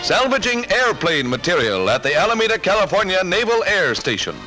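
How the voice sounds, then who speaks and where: A man's voice: sits high, big pitch swings, brisk. A man narrating a 1940s wartime newsreel in a punchy, emphatic delivery. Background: loud orchestral music.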